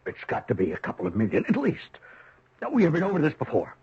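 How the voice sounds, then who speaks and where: Desperate middle-aged businessman, very husky, swooping pitch, blustering, old radio drama. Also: huge pitch swings, fast talker, full character voice. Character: desperate, overextended businessman father, pressing and blustering. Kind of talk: 1970s radio drama acting. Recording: dull, lo-fi old radio recording.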